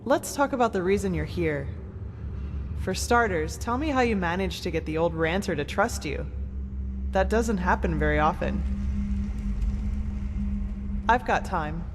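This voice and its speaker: A woman's voice: on the low side, expressive, unhurried, long pauses, noisy background. An actress playing a blunt, short-fused woman adventurer in a video game, talking in a casual, sardonic, foul-mouthed way. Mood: casual, apologetic. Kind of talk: video game dialogue acting. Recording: reverberant hall echo, music underneath. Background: game music.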